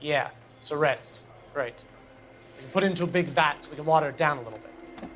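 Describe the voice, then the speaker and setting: An actor playing a smug, menacing 1940s gangster boss who talks in sardonic, wisecracking slang in a video game crime drama. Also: male voice, a little high, fairly quick, fairly bright tone, close to an everyday voice. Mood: businesslike, slick. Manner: slangy, sardonic, wheedling tough-guy talk.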